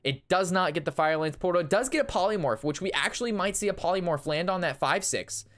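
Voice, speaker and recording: A young man casting and reviewing a card game match, excitable and analytical, his voice strained from talking a long time. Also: high-pitched, fast talker. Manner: excitable, analytical, talks through plays. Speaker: Hearthstone caster reviewing a match. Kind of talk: casual game commentary.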